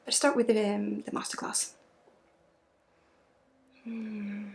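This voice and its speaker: A woman leading an ASMR energy healing session, soft-spoken and often slipping into a breathy whisper. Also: medium pitch, flat delivery, slow pace, slight background noise. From Sweden. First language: Swedish. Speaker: energy healer and spiritual guide.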